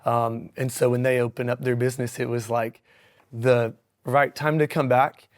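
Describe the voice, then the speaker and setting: Hoarse, upbeat young man. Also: mid-range voice, moderate pace. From Missouri Ozarks. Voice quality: raspy. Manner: upbeat, animated, many fillers. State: hoarse from yelling. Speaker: dentist guest. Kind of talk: casual interview.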